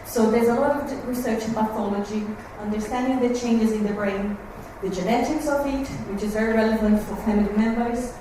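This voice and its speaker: Brazilian woman giving a talk, bit higher voice, far off mic in an echoey noisy room. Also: a little fast, few pauses. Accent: Brazilian Portuguese. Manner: earnest, warm to the families, slightly nervous. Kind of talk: talk to a support group. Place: meeting room, recorded from far off.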